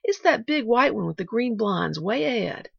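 A woman plays a kindly, flustered hired girl from a storybook. Her voice is high and swoops about, with a stammer and plain folksy grammar. The audio sounds dull. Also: sing-song, normal speed, vocal fry, breathy edge, dry recording, exaggerated voice. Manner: stammering, folksy uneducated speech. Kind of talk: audiobook character voice.

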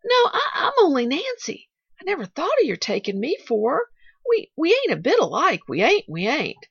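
A woman's voice: on the high side, sing-song, a little slow, gravelly, husky, dry recording, dull audio, voice pushed up, full character voice. A woman voicing a flustered, kindly hired girl in an audiobook, with stammering, folksy, uneducated speech. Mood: shocked, dismayed. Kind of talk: audiobook character voice.

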